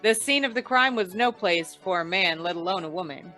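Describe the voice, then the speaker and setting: A woman's voice: lowish voice, lively intonation, a little fast, sharp tone, faint music behind. A woman playing a wry, hard-boiled insurance investigator in an old-time radio drama, with dry, tough delivery. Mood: wry, tense narration.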